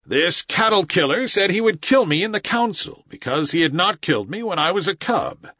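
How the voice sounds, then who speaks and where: Storybook boy raised by wolves, defiant and raw, husky and raised high, as a male narrator puts him on. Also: animated, moderate pace, no room sound, exaggerated voice. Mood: fierce. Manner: shouting commands, then sobbing pleas. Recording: slightly dull recording.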